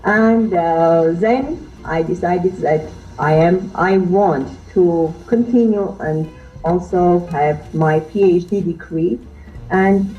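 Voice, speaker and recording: Halting Armenian woman, dark voice. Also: on the low side, highs cut off. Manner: searching for words, halting. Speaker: guest teacher. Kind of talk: podcast interview.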